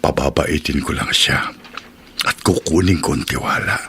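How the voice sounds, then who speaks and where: An actor with a Filipino accent playing a lecherous, scheming shop owner in a radio drama, gravelly and sly. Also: male voice, a bass voice, sharp tone, slight background noise. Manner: leering asides, drawn-out menace. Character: lecherous scheming male auto-shop owner, outwardly friendly employer.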